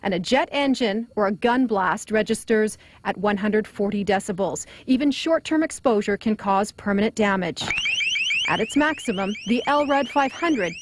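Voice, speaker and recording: A woman's voice: mid-range voice, lively intonation, normal speed. A woman giving a broadcast news report as a TV reporter. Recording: clear background street and vehicle noise.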